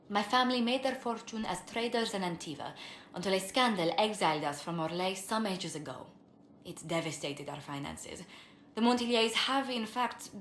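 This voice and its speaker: A woman's voice: medium pitch, a bit bright, slight background noise, lightly acted. Earnest young noblewoman diplomat in a roomy space, played by an actress. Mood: earnest, troubled. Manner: formal, polite, measured. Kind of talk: dramatic fantasy game voice acting.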